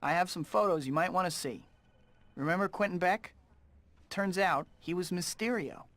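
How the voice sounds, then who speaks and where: A man's voice: high voice, moderate pace, fairly bright tone, dry recording. A man voicing a wisecracking, easily frustrated young male superhero for a video game, with a quippy, sarcastic way of talking. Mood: earnest. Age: young adult. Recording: music behind the voice.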